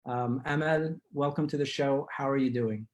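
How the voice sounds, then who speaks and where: A man's voice: mid-range voice, fairly even intonation, moderate pace. Man hosting formally over a video call. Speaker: host of an online community talk show. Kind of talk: scripted-sounding show introduction and interviewing. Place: living room at home.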